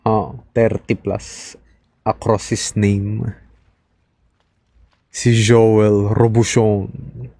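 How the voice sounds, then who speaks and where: A Filipino-accented man streams games and chats casually. His voice is low and even, slow-paced and recorded dry and close. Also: no room sound. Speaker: game streamer. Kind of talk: casual chat.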